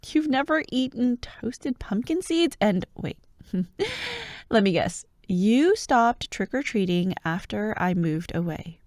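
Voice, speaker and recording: A woman playing a bubbly, bossy young childhood friend who loves Halloween, in an animated, teasing ASMR roleplay. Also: a little high, slow pace, no echo, studio quality. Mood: teasing, hyped. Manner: animated, expressive, teasing, with laughs and sighs. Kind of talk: ASMR roleplay, one-sided acted conversation.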